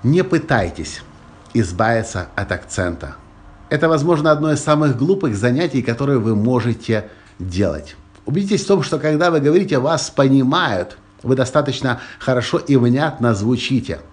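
A man's voice: mid-range voice, lively intonation, moderate pace. Emphatic man hosting a motivational podcast. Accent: Russian-Ukrainian. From Ukraine or Russia. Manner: emphatic, repeats key phrases, small restarts. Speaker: podcast host.

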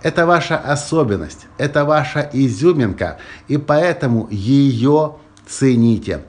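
Brief: male podcast host, Russian-Ukrainian accent, emphatic motivational monologue, medium pitch. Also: expressive, measured pace, darkish tone. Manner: emphatic, repeats key phrases, small restarts.

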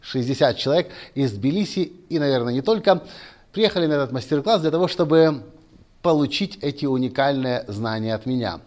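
A man's voice: medium pitch, expressive, a little fast, a little mellow. A man speaking straight to camera as a motivational speaker, earnest and lecturing, often restarting his sentences. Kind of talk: direct-to-camera monologue.

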